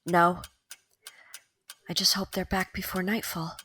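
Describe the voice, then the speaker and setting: A woman's voice: lowish voice, flat delivery, measured pace, sharp tone, husky, full character voice. Woman playing a dry, proper Victorian mother. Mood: wry, composed. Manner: formal, dry, composed. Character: wry, proper middle-class Victorian mother. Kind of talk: audio drama acting.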